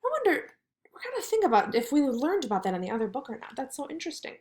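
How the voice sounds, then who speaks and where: A woman's voice: high voice, wildly swooping pitch, quick pace, darkish tone. A woman kindergarten teacher leading a read-aloud lesson, speaking in simple words and putting questions to her young pupils.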